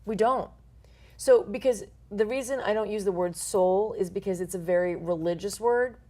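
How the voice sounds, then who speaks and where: A middle-aged woman with an American accent, explaining self-help ideas as an interview guest in an animated, informal, emphatic way with playful asides. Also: mid-range voice, normal speed. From born in Portugal, grew up in the United States. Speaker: interview guest, author and life coach.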